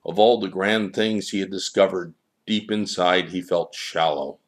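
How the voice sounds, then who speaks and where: A man with a low voice narrates a scripted story. He reads it aloud as a storyteller would. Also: steady tone, a little slow.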